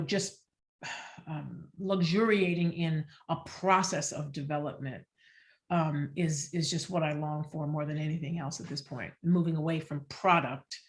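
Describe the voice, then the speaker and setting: Female theater director on a panel, lower register, thoughtful, feeling her way through each phrase. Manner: halting, searching, thoughtful phrasing. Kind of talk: reflective panel discussion.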